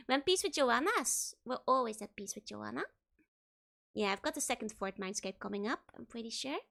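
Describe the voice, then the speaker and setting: A young woman streaming a game, hoarse, giggling and rambling playfully as she chats. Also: a little high, lively intonation, normal speed, long pauses, a bit bright. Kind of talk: casual game stream chat.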